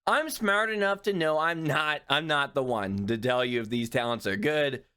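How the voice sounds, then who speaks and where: A man's voice: a little high, moderate pace, pushed and bright, clear, resonant tone. A man casually narrating a game overview as a youtuber, offhand and self-deprecating, swearing freely. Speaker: gaming youtuber.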